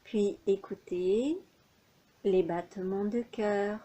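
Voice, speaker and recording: Very slow, mellow woman guiding relaxation. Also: mid-range voice. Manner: unhurried, measured phrases with pauses. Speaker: yoga nidra instructor. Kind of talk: guided relaxation meditation instructions.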